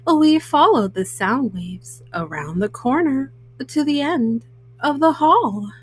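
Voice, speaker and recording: A woman reads a children's picture book aloud, haltingly, often stopping on small hesitant fillers. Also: high voice, expressive, husky. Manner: halting, word-by-word reading with frequent hesitant fillers. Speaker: storyteller, host of a children's read-aloud show.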